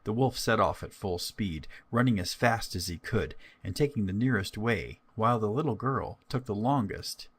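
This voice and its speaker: A man's voice: fairly low pitch, moderate pace, few pauses, slightly rough. A man narrating a scripted fairy tale as a storyteller.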